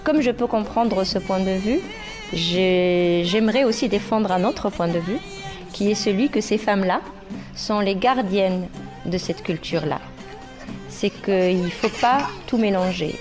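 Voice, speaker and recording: A woman from Morocco, a singer and rapper, speaking earnestly in a documentary interview, pausing often with fillers. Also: mid-range voice, over music.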